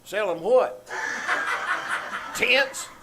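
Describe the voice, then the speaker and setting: A man acts out a contrary, literal-minded country old-timer in an old-time radio comedy. The voice is high, with a folksy drawl and a querulous, puzzled way of talking. It is recorded live on stage with clear background noise. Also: lively intonation, drawn-out words, exaggerated voice. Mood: indignant, contrary. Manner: rustic, folksy dialect, querulous. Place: small-town theater stage, live performance.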